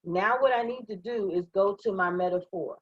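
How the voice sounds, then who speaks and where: Hoarse woman trainer, husky, slightly muffled close mic, upbeat walkthrough voice. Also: mid-range voice, normal speed, dry recording. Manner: upbeat, step-by-step, checks in with "okay?". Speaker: online trading trainer. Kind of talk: live screen-share software walkthrough.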